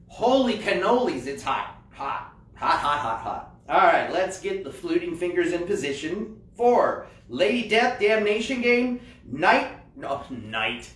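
An American man hosting a live shopping show in a casual, jokey, enthusiastic way. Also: fairly high pitch. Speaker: host of a comics shopping show. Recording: roomy echo, distant microphone.

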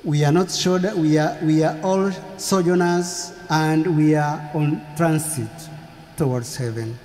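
A middle-aged priest delivers a funeral homily at a very unhurried, deliberate pace, his tone mellow and soft-edged. His voice rings out through a large cathedral with a long, lingering reverb. He speaks into a lectern microphone, leaving long pauses between phrases. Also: a little high. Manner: slow, measured preaching with long pauses. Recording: echoey cathedral from far off.

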